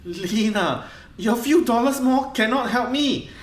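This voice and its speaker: An actor with a Singaporean accent playing a harried, cutting nightclub boss short of money in a radio play, grumbling and sarcastic. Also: male voice, very high-pitched, a little slow, echoey room, slight background noise. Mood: exasperated, sarcastic.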